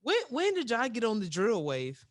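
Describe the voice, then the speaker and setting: A man's voice: high voice, wildly swooping pitch, normal speed, no room sound. A man hosting a casual podcast interview, talking hesitantly with many restarts and filler words. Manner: hesitant, restarts often with many "um"s and "you know"s. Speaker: podcast host.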